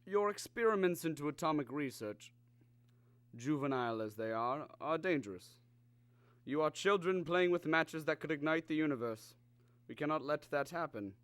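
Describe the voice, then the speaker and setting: A man playing a calm, superior alien visitor in a radio drama, speaking formally and with measured condescension. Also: a little high, no room sound. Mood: superior, grave. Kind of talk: old-time radio drama acting.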